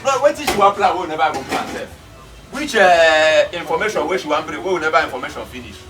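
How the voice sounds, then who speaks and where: A man with a Nigerian accent playing an excitable, deferential gang underling in a film drama. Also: fairly high pitch, quick pace, lightly acted. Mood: agitated. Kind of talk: film drama dialogue in Pidgin English. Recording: distant mic with music behind.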